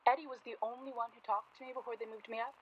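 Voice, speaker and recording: A woman's voice: fairly high pitch, steady tone, very fast, highs cut off, slight background noise, close to an everyday voice. A woman playing a guarded, polite young journalist in a naturalistic radio drama, speaking with reserve and some hesitation. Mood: wistful. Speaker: actor in a radio play.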